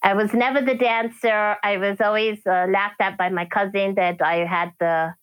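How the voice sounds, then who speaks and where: A woman from Kenya, a podcast guest, chatting casually and hesitantly, often pausing to search for words and laughing. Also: medium pitch, fairly even intonation, a bit bright, smooth, no echo, rough recording. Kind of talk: casual interview chat.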